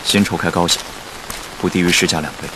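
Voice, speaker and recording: An actor playing a cool, curt, principled business heir in a radio drama. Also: male voice, low voice, fairly even intonation, fast, noisy background, lightly acted.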